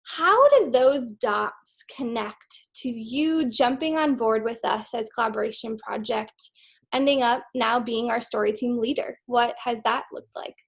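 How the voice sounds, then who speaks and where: A woman's voice: on the high side, unhurried. A woman hosting an interview, upbeat and encouraging, with short affirmations. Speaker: interview host.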